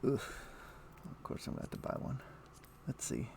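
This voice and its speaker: A man streaming a game, chatting casually and thinking aloud in a halting, muttering way as he plays. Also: a little mellow, a little hiss or hum. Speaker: game livestreamer. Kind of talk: casual livestream chat with gameplay commentary.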